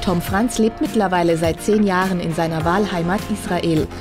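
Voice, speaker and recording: A woman reporter reading a scripted news voice-over in a measured, even way. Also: lowish voice, music in the background.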